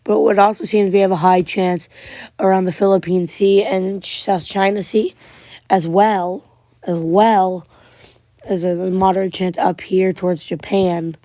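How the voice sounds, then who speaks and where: A woman giving a casual tropical weather update, her voice going hoarse as she keeps clearing her throat and restarting her sentences. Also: medium pitch, fairly even intonation, warm tone, no echo. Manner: halting, many restarts and fillers. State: losing voice, frequent throat clearing. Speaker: amateur weather forecaster.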